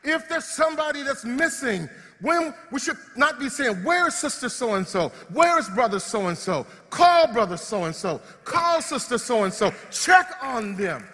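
A man preaching a lively sermon, acting out everyday scenes in voices. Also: very high voice, unhurried, big-room sound, a little hiss or hum. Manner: animated, acts out body parts in comic voices. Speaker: pastor. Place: church sanctuary.

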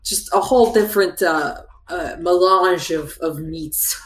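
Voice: mid-range voice, measured pace, slight background noise, lightly acted. A tabletop player voicing a chatty ninety-year-old gnome woman cook, rambling and folksy, genially evasive. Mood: breezy, coaxing. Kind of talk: improvised in-character tabletop roleplay. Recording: roomy echo, faint music behind.

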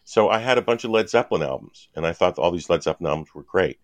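A man in his fifties from Wisconsin with an extremely low voice, chatting as a podcast guest. He rambles, stops and restarts, and fills gaps with ums. The sound is dry and close to the mic. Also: expressive, quick pace, no room sound. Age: 50s. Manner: rambling, with many fillers and restarts. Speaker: guest cartoonist. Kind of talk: casual podcast conversation.

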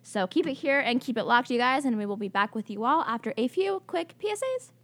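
A woman's voice: on the high side, very animated, brisk, a bit bright. Joking young woman radio co-host. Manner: joking, rambling, self-mocking. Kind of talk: station announcement and casual banter. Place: radio studio.

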